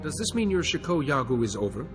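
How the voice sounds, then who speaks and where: Calm Jedi, reverberant. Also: male voice, a little high. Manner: calm, measured. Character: calm, measured male Jedi, steady and wise. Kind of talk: video game cutscene acting. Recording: long reverb, music underneath.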